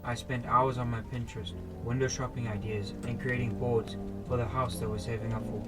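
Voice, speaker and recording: A young man acting as a scheming husband in a radio play. He speaks at a middling pitch over loud music, persuasive and pushy, with an everyday conversational delivery. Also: little pitch movement, a little fast, lightly acted. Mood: casual. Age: teenager. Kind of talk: amateur radio drama acting.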